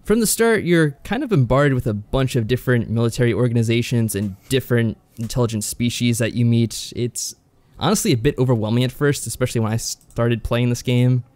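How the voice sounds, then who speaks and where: Guy cohosting a game review podcast, measured and explainy, average pitch, really dry sound. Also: darkish tone, no echo. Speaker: podcast co-host. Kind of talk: conversational visual novel review.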